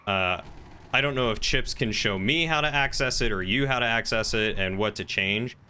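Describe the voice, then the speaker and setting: A man's voice: mid-range voice, normal speed, bright, forceful tone, a little hiss or hum. A man chatting casually with someone while playing a game. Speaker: roleplay server crime lead. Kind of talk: casual chat while gaming.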